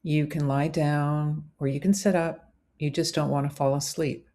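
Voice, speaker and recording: A woman narrating a scripted guided visualization as a meditation host, in measured, deliberate phrases. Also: deep voice, flat delivery, mellow.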